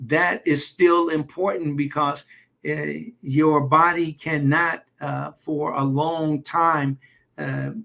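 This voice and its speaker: A man, a doctor, hosting a live health stream in a loose, halting way with many fillers and light jokes. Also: a little high, a bit bright. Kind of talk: casual livestream talk.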